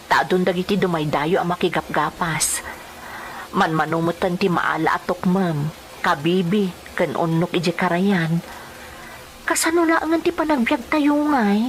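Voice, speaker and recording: A woman's voice: lowish voice, slight background noise. A woman playing a poor, long-suffering wife and domestic helper in an emotional radio drama, speaking plaintively with an Ilocano accent. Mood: earnest pleading. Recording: music playing behind the voice.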